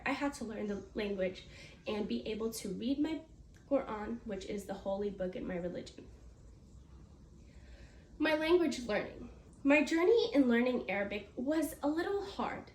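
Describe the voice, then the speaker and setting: A woman's voice: on the high side, a little mellow. A teenage girl from the United States giving a prepared class speech, earnest, with small slips and restarts. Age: teens. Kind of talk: prepared speech read aloud. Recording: echoey room, mic far off, faint noise.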